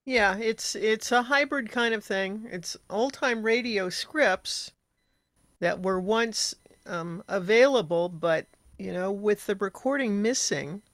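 An older woman in casual conversation, reminiscing with a halting delivery. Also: mid-range voice, fairly bright tone, dry recording. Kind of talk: casual chat.

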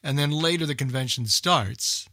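A man's voice: mid-range voice, normal speed, sharp tone, no echo, studio quality. A man hosting a radio show, giving casual, rambling unscripted announcements in an informal way.

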